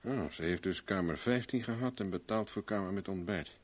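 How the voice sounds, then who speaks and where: An actor playing a smooth, affable private investigator with a casual, politely probing manner in a 1970s Dutch radio play. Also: male voice, deep voice, fairly quick, slight background noise, close to an everyday voice.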